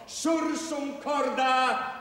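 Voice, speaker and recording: In a cavernous, long-ringing church, an earnest young chaplain delivering a sermon. His high voice moves slowly and solemnly, drawing out rhetorical phrases with fervour. It is television drama, heard at a distance from the microphone. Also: steady tone, bright, forceful tone, heavily acted. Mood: fervent, pleading. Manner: measured, declamatory, fervent, drawn-out phrases. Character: earnest young newly arrived chaplain preaching to his parish.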